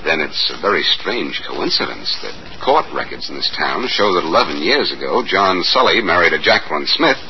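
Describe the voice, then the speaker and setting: An actor playing a wry, sharp amateur sleuth with an American accent, in pointed 1940s radio-drama style. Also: male voice, low voice, very animated, moderate pace, few pauses. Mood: accusing. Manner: theatrical, pointed delivery. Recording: muffled, noisy old radio recording.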